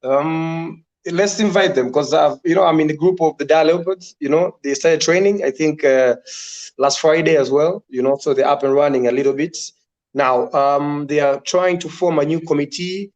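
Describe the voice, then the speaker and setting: Man, online meeting, fairly high voice, relaxed delivery, dull call audio. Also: normal speed. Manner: relaxed, many "you know" fillers. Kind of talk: casual committee call.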